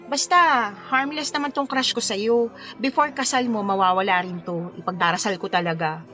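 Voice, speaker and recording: An actress playing a coy, smitten forty-year-old wedding planner who playfully protests in a romantic radio drama. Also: female voice, medium pitch, fairly even intonation, moderate pace, bright, forceful tone, faint music behind, lightly acted.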